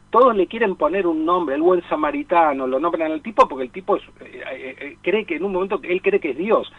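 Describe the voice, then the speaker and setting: A man's voice: on the high side, dry recording. A man talking casually as a film expert on a radio programme, digressing and repeating phrases for emphasis. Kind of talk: casual radio discussion of cinema. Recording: tinny phone-like radio line.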